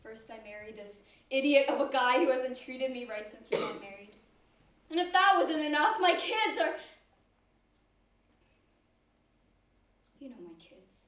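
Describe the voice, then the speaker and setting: A female performer playing a bitter, gravely ill mother, plaintive and emotional, in an amateur stage play. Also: sits high, expressive, normal speed. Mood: bitter, despairing. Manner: plaintive, emotional, fading to hushed. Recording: echoey stage, distant mic.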